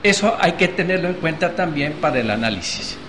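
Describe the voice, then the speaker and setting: A man giving thoughtful, measured analysis on a TV panel, pausing with fillers. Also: fairly high pitch, animated, fairly bright tone, slight background noise. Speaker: panel guest, political commentator. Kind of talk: TV panel debate.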